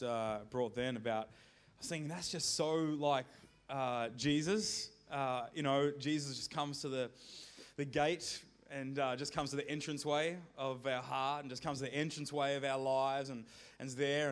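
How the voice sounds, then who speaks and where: An Australian man preaches in a voice pitched a little above average. His delivery is casual and joking, more conversation than oration. He stops and starts as he goes, moving between quiet asides and louder emphasis. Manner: casual, joking, halting with swings from soft to loud. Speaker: visiting preacher, pastor. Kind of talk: informal sermon to a congregation.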